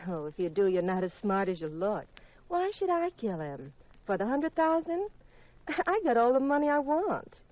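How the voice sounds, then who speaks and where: Flirty widow on vintage radio. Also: female voice, a little high, very animated, moderate pace. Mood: challenging. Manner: coy, teasing, unsentimental. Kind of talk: 1950s radio detective drama acting. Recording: dull vintage radio recording.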